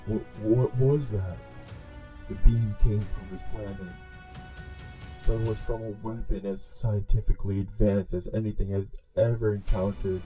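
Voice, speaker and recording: A solemn male cosmic herald, acted by a man, speaks in a low, grave voice. The delivery is deliberate and theatrical, weighed down by torment, in a comic-dub monologue with music underneath. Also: steady tone, natural voice, low-quality recording. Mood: shocked, alarmed. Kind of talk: comic dub dramatic narration.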